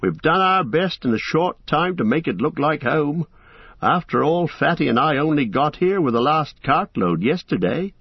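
A man voicing Merry, a brisk, bossy young male hobbit who organizes his friends. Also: fairly high pitch, husky, dry recording, voice pushed up, heavily acted. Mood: cheerful welcoming. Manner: lively, bustling, commanding. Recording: slightly muffled dry recording.

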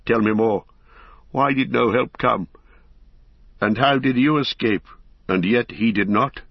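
A man's voice: medium pitch, unhurried, vocal fry, slightly rough, no echo. A man reading an audiobook, voicing a grim, proud old male lord in grief, with a grave, cold manner. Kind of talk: audiobook character voice. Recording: dry, dull lo-fi recording.

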